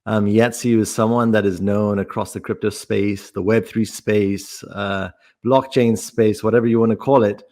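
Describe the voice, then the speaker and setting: A man hosting a podcast, introducing an interview conversationally in measured, thoughtful phrases. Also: fairly low pitch, steady tone, darkish tone. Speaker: podcast host.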